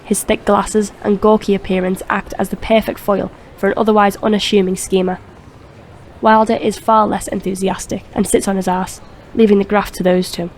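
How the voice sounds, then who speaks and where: A woman's voice: mid-range voice, flat delivery, normal speed, slight background noise, rough recording. A woman reading scripted narration for a crime audio drama.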